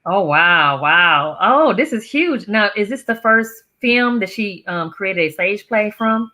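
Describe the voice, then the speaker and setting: A woman's voice: medium pitch, expressive, unhurried, sharp tone. A Southern woman hosting a casual talk show interview, bubbly and full of exclamations.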